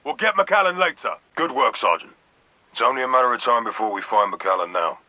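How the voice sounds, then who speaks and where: An actor playing a stern, commanding vice admiral in a video game, giving clipped, authoritative orders over a radio comms filter. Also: male voice, mid-range voice, lively intonation, brisk, no echo. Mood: firm, commanding. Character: stern military vice admiral, grave, commanding fleet officer. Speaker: military commander character. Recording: dull band-limited radio sound.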